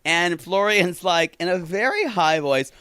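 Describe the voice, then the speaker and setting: A man's voice: high voice, animated, unhurried, sharp tone, clear, resonant tone, no room sound, clean studio sound. A man co-hosting a podcast, chatting casually and animatedly, laughing often and restarting his sentences. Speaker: podcast co-host. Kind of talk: casual recap chat.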